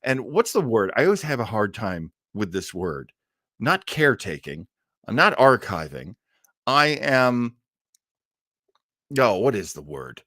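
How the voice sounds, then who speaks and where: A man hosting an old time radio livestream in a casual, chatty, enthusiastic way, often rambling and pausing to search for words. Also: lowish voice, big pitch swings, fairly bright tone, dry recording, clean studio sound.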